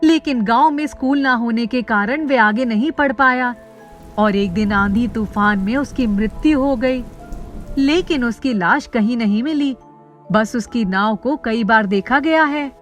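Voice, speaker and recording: A woman with a fairly high voice narrates a scripted children's story. She reads as the storyteller, with music playing behind her. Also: a little slow.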